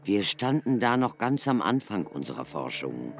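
An actor playing an earnest, cautious male researcher in a German radio play, explaining things in a measured, emphatic way. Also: fairly low pitch, sing-song. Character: deep-voiced scholarly male ruin researcher, earnest and cautious.

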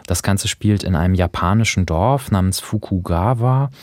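A man with a low voice speaks as a podcast co-host in a dry, close space. He talks conversationally about radio drama, explaining it in a scholarly manner. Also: normal speed, dry recording.

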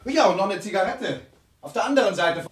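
An actor playing an observant, deferential detective sergeant who reports facts in a German radio crime drama. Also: male voice, high-pitched, lively intonation, brisk, slightly rough, no echo.

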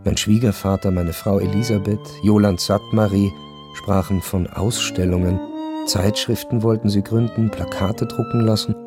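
An actor playing a melancholy, estranged husband home from war, narrating a radio drama in a measured, reflective, wry way. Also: male voice, lowish voice, fairly even intonation, music in the background, lightly acted.

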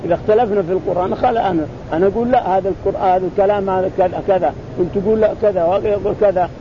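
Fast, high-voiced man teaching religious texts. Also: steady tone. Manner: repeats phrases, restarts words, acts out quoted exchanges. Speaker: teacher explaining hadith. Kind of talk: spoken religious commentary. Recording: muffled recording with loud rumbling noise.

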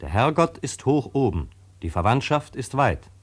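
An actor as the sober narrator of a family chronicle, reading literary radio drama narration in a measured way. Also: male voice, mid-range voice, sing-song. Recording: music behind the narration. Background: music.

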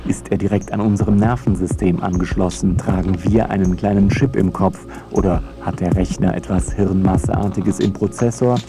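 A man with a low voice narrates a scripted radio feature. His delivery is wry and rhetorical, and music runs clearly behind him. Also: fairly even intonation, moderate pace, few pauses.

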